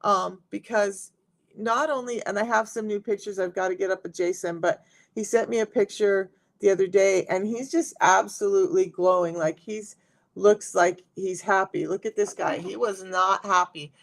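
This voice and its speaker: A middle-aged woman with a raspy voice, chatting casually to viewers on a live stream as a health coach. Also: mid-range voice, steady tone, moderate pace. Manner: chatty, talks straight to viewers.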